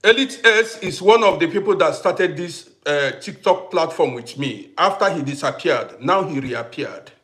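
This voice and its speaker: A man hosting a casual livestream who greets viewers by name, repeats himself, and swings between loud bursts and quiet asides. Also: on the high side, animated, normal speed, few pauses. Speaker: livestream host.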